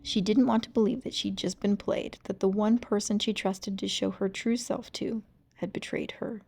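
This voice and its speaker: A woman reading a storybook aloud in an expressive, suspenseful, dramatic narrating style. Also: mid-range voice, normal speed, gravelly. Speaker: storybook reader.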